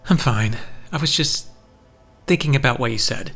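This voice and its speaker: A man's voice: mid-range voice, normal speed, creaky, a bit bright, slightly rough, soft background music. An actor playing a jealous, brooding man with uncanny powers in an audio drama, speaking in a tense, defensive way. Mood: subdued.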